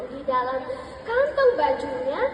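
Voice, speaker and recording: Casting: woman, children's storytelling contestant, dramatic and unhurried, high voice, big echoing hall. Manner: dramatic, drawn-out emphasis. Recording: echoey hall with loud music behind.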